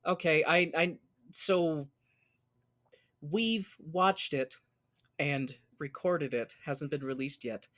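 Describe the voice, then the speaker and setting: A man's voice: fairly high pitch, slow pace, fairly bright tone. An American man co-hosting a casual podcast, often breaking off and rewording as he goes. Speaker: podcast co-host.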